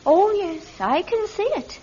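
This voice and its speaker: A woman playing a gentle, troubled middle-aged psychic mother in an old radio drama, speaking earnestly and properly with some hesitation. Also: very high-pitched, very animated, a little slow, a little hiss or hum, full character voice. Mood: gently firm. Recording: dull vintage radio recording.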